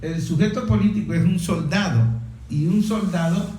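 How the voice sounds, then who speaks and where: A man's voice: high-pitched, normal speed, warm tone, very echoey, poor audio. A man in a political discussion, explaining his points emphatically and didactically, building them through repetition.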